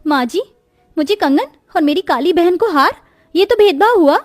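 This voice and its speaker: A woman's voice: very high-pitched, lively intonation, moderate pace, dry recording, faint music behind. A woman playing a vain, spiteful young sister in a cartoon moral story, with a snooty, taunting manner. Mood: jealous, spiteful. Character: vain, haughty, spiteful young pretty sister and daughter-in-law.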